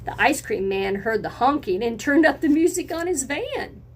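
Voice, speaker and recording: A woman's voice: sits high, animated, moderate pace, a little hiss or hum. A woman teacher reading a picture book to young children, speaking expressively in simple phrases and putting encouraging questions to her listeners. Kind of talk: read-aloud lesson for children, with questions to listeners.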